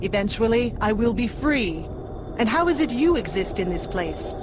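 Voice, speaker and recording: A woman voicing a determined female demon warrior in a video game cutscene, formal and measured. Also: a little high, sing-song, music in the background. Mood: solemn, measured. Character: solemn, determined female demon swordswoman seeking purity.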